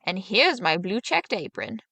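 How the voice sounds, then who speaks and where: A woman's voice: mid-range voice, big pitch swings, a little slow, sharp tone, husky, no echo, heavily acted. A woman voicing a sly, bossy old witch in a storybook, coaxing and instructing, curt at times. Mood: matter-of-fact.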